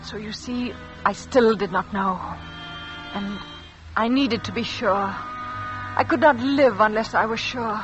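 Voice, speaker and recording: An actress playing a frightened, haunted French village wife who tells her story in a hushed, anguished radio-drama style. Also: fairly high pitch, a little slow, close to an everyday voice. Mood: grim, haunted. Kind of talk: 1940s-50s radio drama acting, confessional narration. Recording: dull old radio recording, music underneath.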